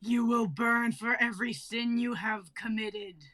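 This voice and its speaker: A woman's voice: a little high, a little slow, fairly bright tone. A young woman playing a fiery, wrathful female fantasy fighter in an amateur script reading. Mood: menacing rage. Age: young adult.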